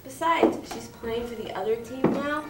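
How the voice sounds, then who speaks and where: A woman's voice: a little high, moderate pace, echoey room, recorded from a distance, heavy background noise, natural voice. A woman acting in a comedic drama, bossy and gossipy in manner.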